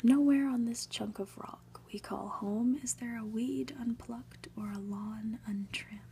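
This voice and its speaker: A woman reads a story aloud in a hushed, breathy ASMR whisper, deliberate and full of pauses. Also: fairly high pitch, fairly even intonation, a little hiss or hum. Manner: slow, deliberate, hushed delivery with dramatic pauses. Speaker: ASMR reader.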